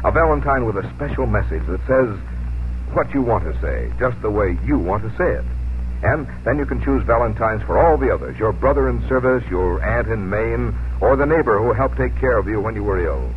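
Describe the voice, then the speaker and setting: A man's voice: on the low side, animated, normal speed, a little hiss or hum. An American man reading scripted commercial announcements as a 1950s radio announcer. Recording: tinny old radio recording, music behind. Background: music.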